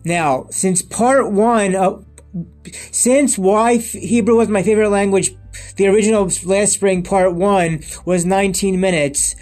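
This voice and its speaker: Man in his thirties with a high voice, rambling solo vlogger, lots of restarts, faint music underneath. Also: fairly even intonation, a little slow, a little mellow. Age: 30s. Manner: rambling and repetitive, with many restarts and emphatic lists. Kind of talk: unscripted monologue to camera.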